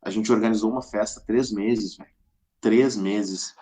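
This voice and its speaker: A man's voice: lowish voice, steady tone, moderate pace, dark tone. A middle-aged man with a southern Brazilian accent from Rio Grande do Sul, casually telling anecdotes as a podcast guest in a colloquial way, acting out quoted dialogue.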